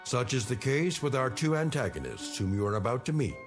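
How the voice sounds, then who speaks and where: Animated, portentous American male narrator. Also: medium pitch, over music. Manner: portentous, measured. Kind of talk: dramatic scripted anthology narration.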